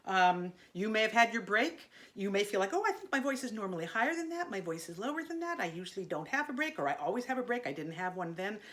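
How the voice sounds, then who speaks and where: A woman teaching an online singing lesson in a gentle, chatty way, her voice a little congested from allergies. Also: mid-range voice, sing-song, quick pace, hardly any pauses. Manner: gentle, chatty, demonstrating vocal sounds.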